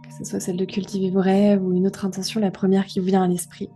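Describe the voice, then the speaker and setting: A woman guiding a relaxation meditation, giving gentle, unhurried instructions with pauses. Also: mid-range voice, monotone, soft background music. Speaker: yoga nidra guide.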